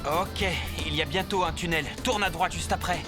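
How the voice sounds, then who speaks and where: An actor playing a steady, encouraging cartoon dad. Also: medium pitch, normal speed, over loud music, close to an everyday voice. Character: caring father and team leader. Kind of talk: French cartoon dub.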